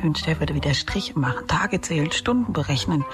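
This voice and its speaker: An actress in a radio play voicing an anxious woman in a halting, repetitive inner monologue. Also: low-pitched, animated, over music. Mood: uneasy, wistful. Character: anxious woman secretly watching her ageing male companion.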